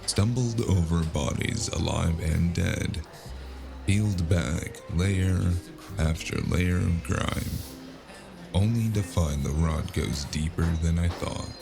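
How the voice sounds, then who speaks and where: A man playing a weary, cynical private detective in a brooding, deliberate noir audio drama monologue. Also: sits low, heavily acted. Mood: grim, weary. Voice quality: gravelly. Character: weary, cynical hard-drinking male private detective in a gritty city. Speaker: audio drama narrator and lead actor. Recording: music underneath. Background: music.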